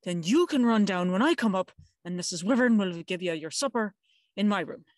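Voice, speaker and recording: A woman's voice: mid-range voice, animated, brisk, no room sound, studio quality, close to an everyday voice. A woman playing a stern, pious aunt in service, soothing her mistress firmly in a gothic audio drama. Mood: brisk, stern.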